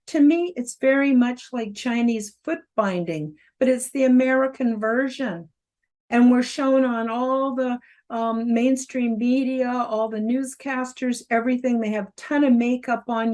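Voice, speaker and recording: A woman around seventy with a loud, carrying voice, giving a casual self-help talk with fillers and emphatic rises. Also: on the high side, a little slow. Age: about 70. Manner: loud voice that carries, outspoken. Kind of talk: casual spoken presentation to camera.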